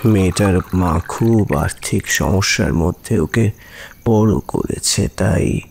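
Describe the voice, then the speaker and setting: Scheming elderly male professor, deep and slow, coldly persuasive, for suspense audio drama. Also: gravelly, a little mellow, a little hiss or hum, exaggerated voice. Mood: cold, calculating. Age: older. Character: aging, scheming professor who procures girls for traffickers. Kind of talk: Bengali audio drama acting.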